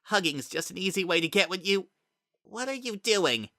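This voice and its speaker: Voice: mid-range voice, animated, normal speed, bright, forceful tone, no echo, studio quality, pitched above the performer's own voice. A performer voicing a bitter, mocking, anguished lost child spirit in a strained, breaking voice, as in a dramatic storybook reading. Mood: bitter taunting. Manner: taunting and wounded.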